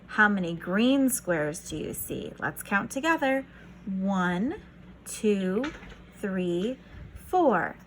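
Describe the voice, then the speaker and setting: Female children's read-aloud teacher: unhurried, lively pitch swings, encouraging and instructional, slight noise behind. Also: poor audio. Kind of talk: reading a picture book aloud to young children.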